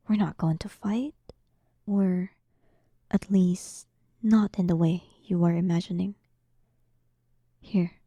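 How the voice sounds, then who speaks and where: A woman playing a powerful demon queen in an audio roleplay, dominant, sarcastic and playfully condescending, often dropping into breathy half-whispers. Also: mid-range voice, dark tone, dry recording, clean studio sound. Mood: taunting, coaxing. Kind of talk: scripted audio roleplay addressed to the listener.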